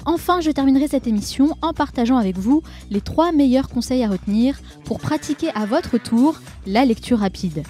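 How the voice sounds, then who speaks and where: A woman's voice: sits high, lively intonation, normal speed, hardly any pauses, warm tone, soft background music. A woman hosting a podcast, reading an upbeat motivational intro and then interviewing her guest on a close mic. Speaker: podcast host.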